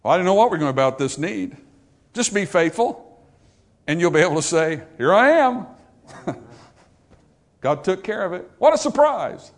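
A man preaching a sermon, swinging from loud emphatic peaks to quiet low asides. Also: very animated, moderate pace, pauses often.

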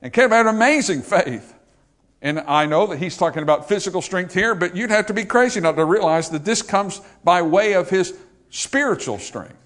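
A man preaching a sermon, swinging from loud emphatic peaks to quiet low asides. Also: animated, moderate pace.

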